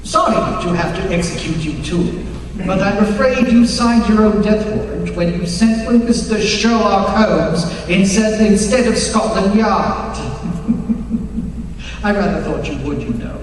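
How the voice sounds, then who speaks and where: A man playing a sinister criminal mastermind professor in a radio mystery, mocking and menacing, with drawn-out, mock-courteous taunts. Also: high voice. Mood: gloating, mocking. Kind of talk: old-time radio mystery acting. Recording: very echoey hall, distant mic, faint noise.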